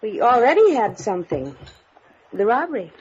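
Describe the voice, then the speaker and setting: A woman's voice: on the high side, sing-song, moderate pace, background noise. A woman playing a poised, coy mansion woman in an old-time radio noir drama. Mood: coy, flirtatious. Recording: muffled vintage radio drama recording.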